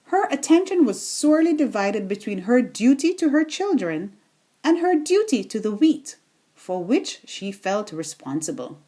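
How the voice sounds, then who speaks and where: A woman reading a children's bedtime story aloud in a deliberate, expressive storytelling manner. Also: on the high side.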